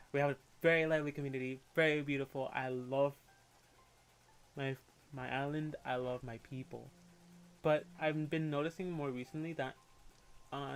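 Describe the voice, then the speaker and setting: Opinionated young Puerto Rican man. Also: medium pitch. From Puerto Rico. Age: young adult. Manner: candid, opinionated, quick to rant, with casual swearing. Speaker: livestreamer. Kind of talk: casual livestream chat and rant.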